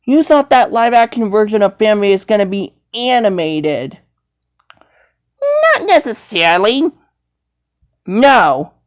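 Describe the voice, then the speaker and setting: A woman's voice: mid-range voice, very animated, takes it slowly, pauses often. A woman voicing a bossy young male lion in improvised character play, lecturing and exasperated. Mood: scolding.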